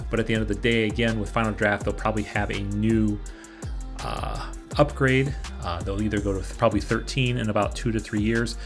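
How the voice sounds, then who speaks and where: A man hosting an informal review video, explaining things to viewers in a halting way. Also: lowish voice, gravelly. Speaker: host of a screenwriting review channel.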